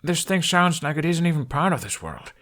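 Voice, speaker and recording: A man voicing an earnest, persistent young Viking who cares deeply and presses his point in an audiobook story. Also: sing-song, fairly quick, fairly bright tone. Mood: indignant.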